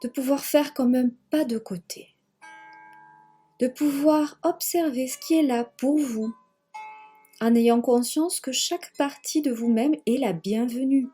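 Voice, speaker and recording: A woman leading a guided yoga nidra relaxation in a gentle, measured manner, leaving long pauses and repeating phrases. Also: high-pitched, slightly rough, soft background music. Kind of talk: guided meditation, slow spoken relaxation.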